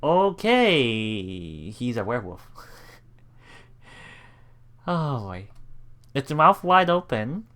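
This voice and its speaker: Animated man playing through a visual novel. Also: medium pitch, extremely slow, pauses often. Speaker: let's play host. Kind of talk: reading visual novel text aloud with casual commentary. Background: game music.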